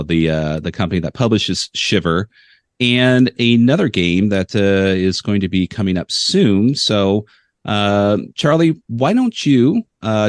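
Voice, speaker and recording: A man's voice: on the low side, no room sound, clean studio sound. A man co-hosting a tabletop gaming podcast, rambling and hesitant with frequent fillers, joking as he talks. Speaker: podcast co-host. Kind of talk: casual podcast interview.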